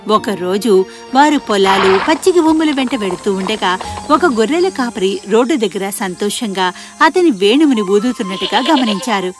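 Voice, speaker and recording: A woman narrating a scripted children's fairy tale in an expressive storytelling manner. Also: medium pitch, normal speed, over music.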